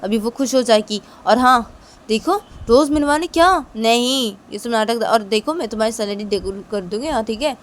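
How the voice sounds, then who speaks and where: A woman's voice: a little high, moderate pace, a little hiss or hum, lightly acted. A woman voicing a wealthy mother who is a firm boss, questioning sharply and reassuring her employee. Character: strict wealthy mother, female boss, firm but kind.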